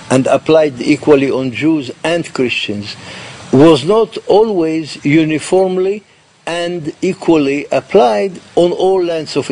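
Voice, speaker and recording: A man from Morocco giving an explanatory documentary interview, haltingly repeating words as he goes. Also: fairly high pitch, darkish tone, slight background noise.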